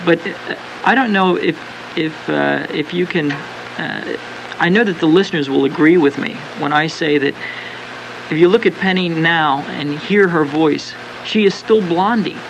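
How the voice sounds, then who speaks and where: A man giving earnest radio interview remarks, speaking haltingly and repeating himself. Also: fairly high pitch, gravelly. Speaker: interview guest, fan club member. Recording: noisy home cassette of radio broadcast.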